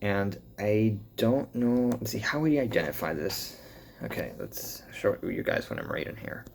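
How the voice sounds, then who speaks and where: A man with an American accent talking casually as a vlogger, thinking aloud in halting commentary as he reads through results. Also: on the low side, very animated, creaky, slight background noise. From born in Cuba, grew up in the US. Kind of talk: casual update talk, reading results aloud.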